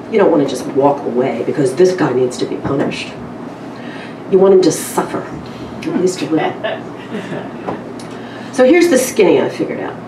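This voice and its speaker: A woman speaking informally at a live literary event, with a candid, wry, conversational way of addressing the room. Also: low voice. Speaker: publisher introducing and reading a story at a literary event. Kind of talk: informal live talk and story reading. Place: indoor live event room with small audience. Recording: roomy, distant mic with clear background noise.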